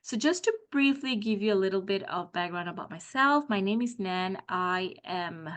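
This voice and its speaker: A woman's voice: mid-range voice, lively intonation, normal speed, a bit bright. Middle-aged woman from Malaysia; school career coach presenting to parents, explanatory, many "um"s. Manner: explanatory, frequent "um" fillers.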